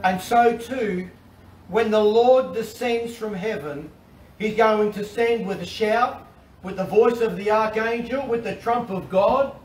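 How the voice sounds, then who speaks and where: A middle-aged man with an Australian accent, preaching an animated sermon from a covered outdoor deck, with repetitions and restarts. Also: sits high, a little slow, a little hiss or hum. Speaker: pastor. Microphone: far camera. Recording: roomy and distant with faint ambience.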